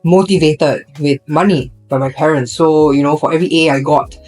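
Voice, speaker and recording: A woman's voice: low-pitched, fairly even intonation, moderate pace, darkish tone, soft background music, poor audio. A young woman from Singapore with a Singaporean English accent, chatting casually on a podcast and filling her talk with fillers and restarts. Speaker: podcast co-host.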